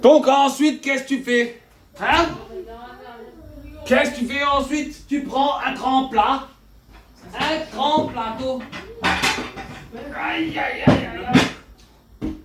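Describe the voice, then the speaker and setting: A woman's voice: high-pitched, drawn-out words, pauses often, a crowd in the background. A woman with an Alsatian accent hosting a comedic cooking demonstration, joking and chatting straight to the viewer. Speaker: cooking video host.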